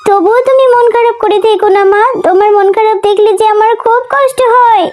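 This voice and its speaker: A woman's voice: extremely high pitch, brisk, darkish tone. A woman voicing a sweet, earnest little fox child, childlike and coaxing, in a children's cartoon story. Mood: tender, consoling. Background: music.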